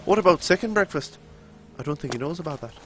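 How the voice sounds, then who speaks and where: A man's voice: on the high side, animated, fast talker, noisy background. Chatty New Zealand man streaming a game. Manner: chatty, reads chat aloud and answers it. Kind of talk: live game stream commentary.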